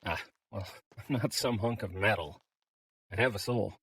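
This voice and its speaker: Man playing an earnest low-voiced android. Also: moderate pace, a bit bright, a little hiss or hum. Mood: puzzled, indignant. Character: earnest modest synthetic android adventurer, male. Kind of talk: audio drama acting.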